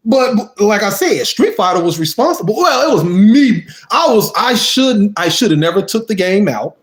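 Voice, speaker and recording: Animated man telling a story. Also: high voice, moderate pace. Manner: animated, acts out quotes, asks "you see what I mean?". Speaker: storytime vlogger. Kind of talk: casual storytelling to viewers.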